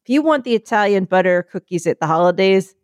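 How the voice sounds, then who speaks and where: Woman, casual storytelling, animated, dry close sound. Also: quick pace, clear, resonant tone, no echo. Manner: animated, acts out quotes in higher voice.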